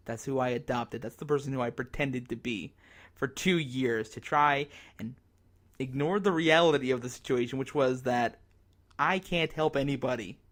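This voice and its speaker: Man acting a despairing, halting male radio broadcaster. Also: mid-range voice, a bit bright, close to an everyday voice. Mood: bitter, defiant, then despairing, agitated. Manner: halting, dramatic, broken into short phrases. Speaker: radio drama actor. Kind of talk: radio drama monologue as an emergency broadcast.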